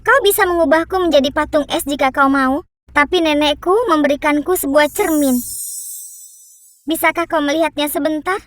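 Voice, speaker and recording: A woman voicing a brave, tender-hearted young girl in a children's cartoon fairy tale, with earnest, expressive delivery. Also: sits high, normal speed, dry recording, natural voice. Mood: gently firm.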